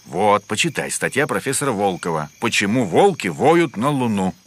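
A man's voice: on the low side, sing-song, moderate pace, husky. A man voicing a sensible, bookish bear in a cartoon, explaining things with a mildly teasing manner. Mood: earnest, explanatory. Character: sensible, bookish adult brown bear, male. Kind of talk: animated cartoon voice acting. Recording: music behind the voice.

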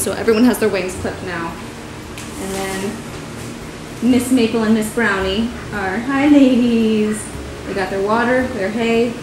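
A young adult woman talking casually to camera as a farm vlogger, explaining things in a plain, informal way. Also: mid-range voice. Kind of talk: casual vlog talk. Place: large garage, kitchen, outdoors in a yard. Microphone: camera mic, arm's length or farther. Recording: echoey garage and rooms, background noise.

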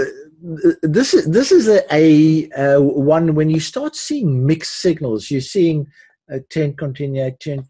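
An older man hosting a live webinar, giving unscripted commentary in a halting way with repeated words and restarts, his voice husky. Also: on the high side, warm tone, dry recording. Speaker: webinar host teaching forex chart analysis.

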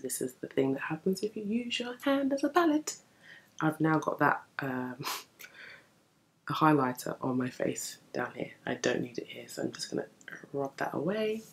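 Young female beauty vlogger, deep gravelly morning voice, chatty upbeat tutorial talk, camera mic indoors. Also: big pitch swings, normal speed. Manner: chatty, upbeat, explaining while applying makeup. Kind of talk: casual makeup tutorial chat. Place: indoor room in front of a bookshelf. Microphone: camera at desk distance.

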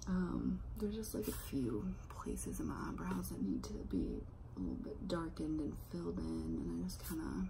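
A woman's voice: low-pitched, huge pitch swings, moderate pace, creaky, background noise. A young woman in her twenties chatting casually while doing her makeup in her bedroom, losing her voice and talking half in a whisper, rambling and self-deprecating. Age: 20s. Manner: half-whispering, softly to keep quiet for roommates. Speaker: lifestyle vlogger. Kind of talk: casual get-ready-with-me makeup chat. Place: bedroom, indoors. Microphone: phone or laptop camera at arm's length.

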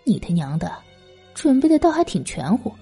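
A woman voicing a weak, breathy, defiant imprisoned strategist in an audiobook drama. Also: medium pitch, big pitch swings, normal speed, mellow, soft background music, full character voice. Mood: muttering, contemptuous.

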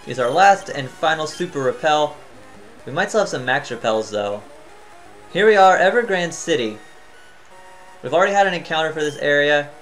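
A man's voice: on the high side, animated, normal speed, long pauses, rough recording. Man, tired, casual gameplay commentary, chatty, thinks aloud, faint background music. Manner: chatty, thinks out loud, hums to himself. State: tired, voice near cracking. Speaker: gaming channel host.

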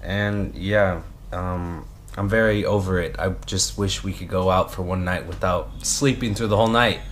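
A man's voice: deep voice, fairly even intonation, moderate pace, a bit bright. A man in his twenties chatting casually to his vlog in a laid-back, slangy way, recorded on a handheld action camera. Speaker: vlogger for a vape brand team. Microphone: action camera at arm's length. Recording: noisy action-camera audio with ambient hum.

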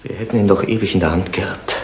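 An actor playing an uneasy, calculating conspirator in a 1970s German radio crime drama. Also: male voice, deep voice, fairly even intonation, fast talker, lightly acted. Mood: reproachful. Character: uneasy, calculating male conspirator in village family. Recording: roomy echo, clear noise and clicks.

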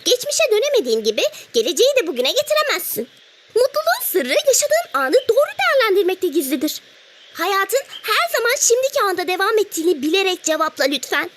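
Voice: very high voice, very animated, fast, fairly bright tone, a little hiss or hum, exaggerated voice. A performer voicing a cheerful, wise little cartoon ladybug who teaches patiently with coaxing questions. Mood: gently instructive. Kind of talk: children's cartoon dialogue.

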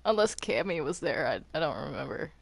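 A woman's voice: low voice, very animated, brisk, gravelly, noisy background. A woman chatting casually while gaming in a roleplay server.

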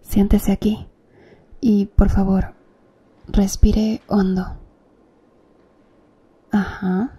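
A woman acting as a calm, attentive female doctor in an ASMR roleplay, speaking softly and close to the listener. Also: medium pitch, extremely slow, pauses often, breathy edge, exaggerated voice. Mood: gentle. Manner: soft, close-up ASMR speech, gently addressing the listener.

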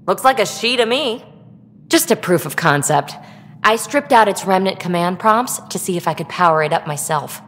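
A woman playing a breezy, excitable alien tinkerer in a video game, playful and flippant but guarded about her feelings. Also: on the low side, big-room sound. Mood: excited, eager. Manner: playful, flippant, quick to brush off. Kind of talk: video game dialogue acting.

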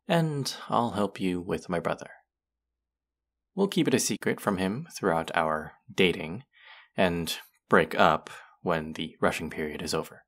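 A man's voice: low-pitched, a bit bright, full character voice. A young man acting a condescending, smug college student in a scripted audio roleplay, haughty and sardonic with a drawl. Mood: grudging, calculating. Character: condescending, smug young male college rich-club hopeful. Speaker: voice actor.

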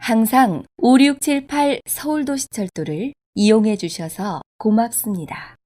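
A woman reading scripted subway station announcements in a formal, polite, measured way. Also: fairly high pitch, warm tone. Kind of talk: scripted public transit announcement.

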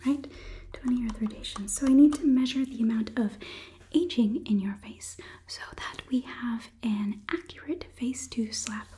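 A woman in her twenties playing a condescending, clinical female robot overlord in a soft whispered ASMR roleplay. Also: fairly high pitch, normal speed, lightly acted. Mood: clinical, explaining. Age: 20s. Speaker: ASMR performer. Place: small indoor studio set. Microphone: close microphone near camera.

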